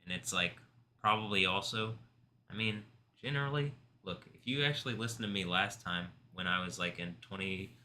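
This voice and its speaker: Bright-voiced intense man livestreaming. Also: sits low, normal speed, slight background noise. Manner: intense, confrontational then soft-spoken and rambling; calls himself soft-spoken. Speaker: livestream host. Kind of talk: rambling live stream monologue.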